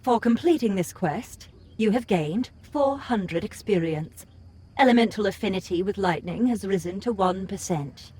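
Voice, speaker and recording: An impassive game announcer for a fantasy audiobook. The voice is husky and medium in pitch, delivering information in a measured, formal way. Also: female voice, lively intonation, darkish tone. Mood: neutral, informative. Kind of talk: scripted audiobook game notifications.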